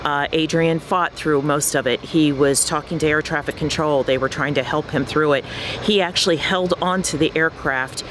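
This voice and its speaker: A man's voice: on the high side, steady tone, normal speed, a bit bright, a little hiss or hum. A man speaking as an aviation expert in a plain-spoken, explanatory interview.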